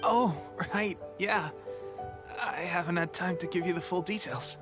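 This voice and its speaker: Over game music, a sickly young soldier with a lightish voice. He talks slowly and haltingly, earnest and eager but clearly worn out. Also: high voice. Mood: proud, weary. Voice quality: breathy, weary, coughing. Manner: halting, hesitant, trailing pauses. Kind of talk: video game voice acting.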